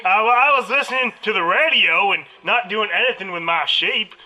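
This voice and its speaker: A woman playing a dim, crude sheep farmer who rambles haltingly in a put-on Southern accent for a radio drama parody. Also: medium pitch, natural voice, low-quality recording. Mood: rambling.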